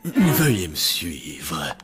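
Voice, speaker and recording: An actor playing a formal, deadpan zombie hotel keeper in a children's cartoon. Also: male voice, rumbling low, extremely slow, exaggerated voice. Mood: solemn, deadpan. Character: slow, formal undead hotel keeper, adult male zombie. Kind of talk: French-dubbed children's cartoon acting. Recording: music behind the voice.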